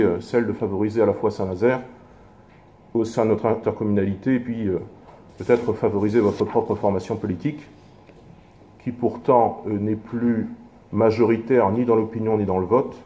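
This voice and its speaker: A man speaking as an opposition councillor in a formal political speech, measured, with halting, self-corrected phrasing. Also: on the low side, steady tone, warm tone, a little hiss or hum.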